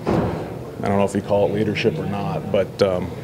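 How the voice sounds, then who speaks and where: A man in his forties, a football head coach, answering reporters after a loss in a measured, halting way with many fillers. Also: lowish voice, monotone, heavy background noise. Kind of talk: post-game press scrum. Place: indoor press backdrop area. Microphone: several handheld reporter mics.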